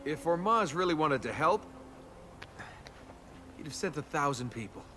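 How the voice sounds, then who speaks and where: An actor playing a young, sarcastic adventurer prince, a flippant and reluctant hero in a video game. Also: male voice, on the high side, lively intonation, normal speed, pushed and bright, slight background noise. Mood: wry. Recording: music behind the voice. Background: game music.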